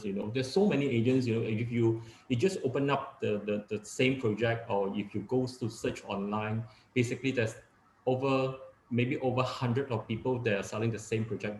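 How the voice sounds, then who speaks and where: Low-voiced man in echoey room. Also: a little mellow, slight background noise. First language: Cantonese. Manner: halting, many fillers and restarts. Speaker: guest speaker, real estate agent. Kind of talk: casual webinar talk.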